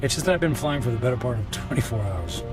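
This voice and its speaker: A man acting as a rich, self-absorbed businessman, weary and brooding. He speaks haltingly at a middling pitch in radio drama style, with music behind him. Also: sing-song, natural voice. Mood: guarded, weary.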